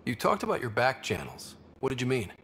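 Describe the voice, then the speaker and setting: An actor playing a young male expedition leader, calm and earnest. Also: mid-range voice, fairly quick, a little hiss or hum.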